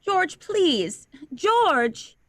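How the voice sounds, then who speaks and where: A woman's voice: very high-pitched, very animated, very slow, no echo, exaggerated voice. A woman playing a sweet, teasing young small-town woman in love, acted in 1940s radio drama style. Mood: pleading. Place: indoors, recorded over a video call.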